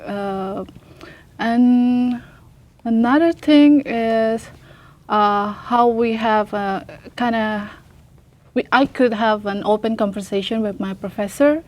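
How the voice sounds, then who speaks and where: A young woman from Indonesia, an international student answering press questions in halting English with many fillers and restarts. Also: fairly high pitch, darkish tone. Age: young adult. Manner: hesitant, many fillers, searches for words.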